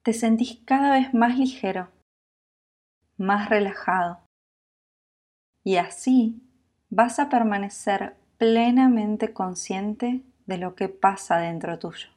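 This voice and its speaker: A woman with a Latin American Spanish accent guiding a sleep meditation in a calm, soothing, unhurried manner, leaving long pauses. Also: medium pitch. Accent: Latin American Spanish with voseo. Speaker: yoga nidra meditation guide.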